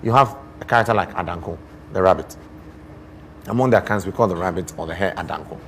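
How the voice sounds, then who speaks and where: A Ghanaian man explaining things as a guest in a TV interview, expressive and deliberate, often posing rhetorical questions. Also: sits low, slight background noise. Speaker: guest poet and storyteller.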